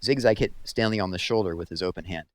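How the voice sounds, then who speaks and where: A man's voice: deep voice, fast. A man reading a children's novel aloud as storybook narration.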